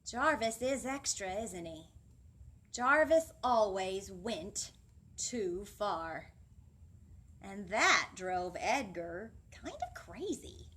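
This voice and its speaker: A woman hosting a children's storytime, expressive and sing-song, speaking directly to young listeners. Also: medium pitch, extremely slow, slightly rough. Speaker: children's librarian, storytime host. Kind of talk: animated picture-book reading and instruction for young children. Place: library room, seated indoors.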